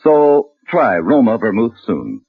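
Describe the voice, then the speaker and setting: A man's voice: medium pitch, huge pitch swings, takes it slowly, breathy edge, no echo. A man announcing a sponsor's message on 1940s radio with a polished sales delivery, on a tinny old recording. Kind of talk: 1940s radio advertising read.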